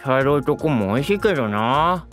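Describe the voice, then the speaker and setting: Young hopeful idol male actor, very animated, earnest, friendly and easily flustered, anime audio drama. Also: medium pitch, normal speed, music in the background, natural voice. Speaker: protagonist and narrator. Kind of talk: anime-style voice drama acting.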